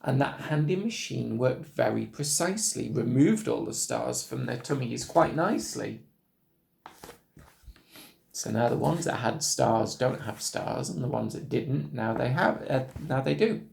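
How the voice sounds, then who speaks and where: Casting: male storybook reader, unhurried rhythmic delivery with highly varied intonation. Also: gravelly, a little hiss or hum. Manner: expressive, rhythmic verse reading. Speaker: storybook reader, school staff. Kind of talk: children's story read aloud.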